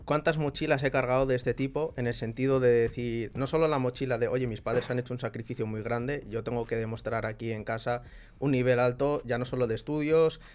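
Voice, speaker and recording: A young man tells stories in an animated way and acts out quotes as he goes. His voice sits at an average pitch and has an easy conversational rhythm. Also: fairly quick, clear, resonant tone. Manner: animated storytelling with laughs and acted-out quotes. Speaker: guest. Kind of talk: casual discussion.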